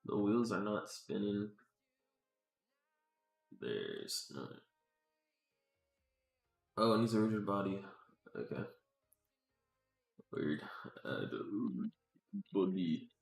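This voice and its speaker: A man with a low, gravelly voice talks to himself as he works on a livestream. His delivery is casual and muttered, full of slang and swearing. The room adds a clear echo to his voice. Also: very animated, drawn-out words, long pauses, soft background music. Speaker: game developer live-streaming work. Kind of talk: casual thinking-aloud livestream commentary while working. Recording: roomy echo.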